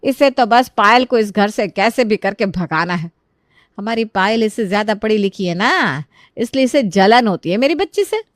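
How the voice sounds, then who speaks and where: A woman voicing a sharp-tongued, suspicious older mother-in-law who scolds and accuses in a family drama. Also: mid-range voice, lively intonation, moderate pace, no echo, studio quality, voice pushed down, close to an everyday voice. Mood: resentful.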